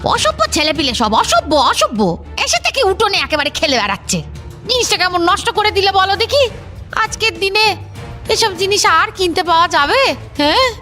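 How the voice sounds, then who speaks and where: A woman's voice: very high voice, very animated, moderate pace, bright, forceful tone, exaggerated voice. A woman playing an elderly village grandmother in an audio drama, chatty and chiding, full of rhetorical questions. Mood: scolding, exasperated. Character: scolding, reminiscing elderly grandmother of a village household. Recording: music behind the voice.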